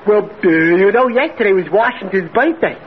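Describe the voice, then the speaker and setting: An actor playing a dim-witted, cheerfully foolish tavern regular in a 1940s radio comedy, earnest and hesitant. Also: male voice, high-pitched, animated, moderate pace, noisy background. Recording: tinny, narrow old radio broadcast.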